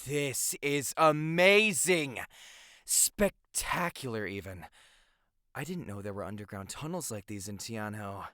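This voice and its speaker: An actor voicing an excitable, awestruck young adventurer in a visual novel game. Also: medium pitch, unhurried, no room sound, studio quality. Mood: amazed.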